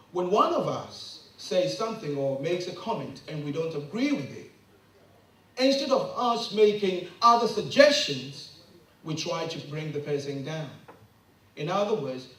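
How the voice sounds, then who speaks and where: A man's voice: fairly high pitch, sing-song, normal speed, a bit bright. A middle-aged man from Ghana, giving an impassioned public address full of rhetorical questions that rise to emphatic peaks. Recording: very echoey room, mic from a distance.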